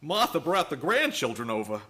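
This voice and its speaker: Man acting flustered, high-pitched older gentleman in radio drama. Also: sing-song, moderate pace. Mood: worried, indignant. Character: flustered older judge and blustery store owner. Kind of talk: stage-like radio play acting.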